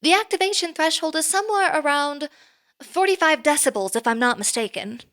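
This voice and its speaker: Bright-voiced, poised, formal boss. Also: female voice, sits high, animated. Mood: businesslike. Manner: measured, precise, formal. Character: poised, formal, politely earnest female boss interviewing an applicant. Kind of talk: audio drama acting, conversational.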